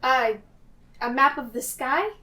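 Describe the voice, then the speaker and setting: A woman playing a tabletop game, speaking casually in character in a halting, repetitive way. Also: sits high, bright, forceful tone. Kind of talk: casual in-character improvised play. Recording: slightly distant mic, faint noise.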